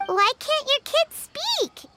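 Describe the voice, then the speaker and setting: A woman voicing a small, childlike, excitable and curious fairy companion in an anime game, full of exclamations. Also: extremely high pitch, slow pace, very noisy, full character voice. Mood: startled, indignant. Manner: refers to self in third person, exclaiming. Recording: loud music behind the voice.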